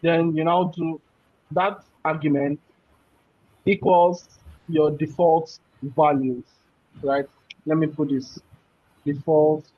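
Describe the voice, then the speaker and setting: A man's voice: on the high side, dry recording. Man teaching a live coding class. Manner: explains step by step, often checks with "right?". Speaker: programming instructor. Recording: muffled, dull audio.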